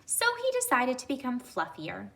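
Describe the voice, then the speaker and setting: A woman reading a children's story aloud, addressing young listeners deliberately and pausing to ask them questions. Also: on the high side, wildly swooping pitch, vocal fry.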